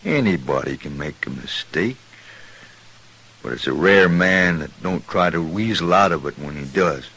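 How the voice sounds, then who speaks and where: Low, lively-pitched frontier drifter. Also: male voice, a little slow, breathy edge, full character voice. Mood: sheepish, sincere. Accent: American. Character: plain-spoken, restless frontier drifter on the run. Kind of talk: 1950s western radio drama acting. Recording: hissy old-time radio recording.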